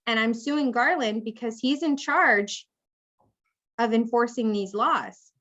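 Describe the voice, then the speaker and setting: A woman's voice: on the high side, slow, a bit bright, lo-fi sound. A woman law professor giving a conversational classroom lecture, acting out example speakers as she explains. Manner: conversational, acts out hypothetical speakers.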